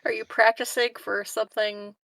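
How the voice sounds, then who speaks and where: A woman's voice: a little high, level tone, moderate pace, fairly bright tone, no echo. A woman chatting and bantering casually during a tabletop roleplaying game.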